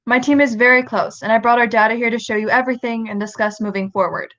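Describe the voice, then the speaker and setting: A woman's voice: on the high side, fairly even intonation, fast, a bit bright, lightly acted. Dull-sounding woman playing a driven female scientist. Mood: apologetic, dutiful. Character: driven, defensive lead woman scientist with a child. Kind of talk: amateur radio play acting.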